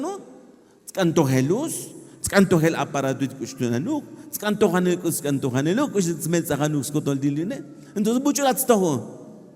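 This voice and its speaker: A man's voice: sits high, a little mellow, big-room sound. A man preaching animatedly, mixing languages, with frequent affirmations.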